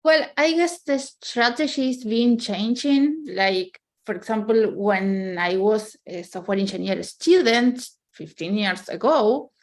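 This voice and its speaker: A woman's voice: fairly high pitch, unhurried, hardly any pauses. A woman in her thirties from Argentina, speaking English with an Argentine Spanish accent as a second language, earnestly giving career advice in a casual chat and often checking in with "right?". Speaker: software engineer guest.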